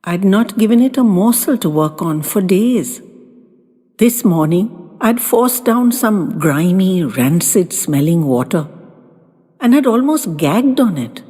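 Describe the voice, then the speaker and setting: A woman narrating a first-person audio story in a wistful, theatrical and deliberate way. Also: mid-range voice, animated, warm tone, husky. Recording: long reverb, music underneath.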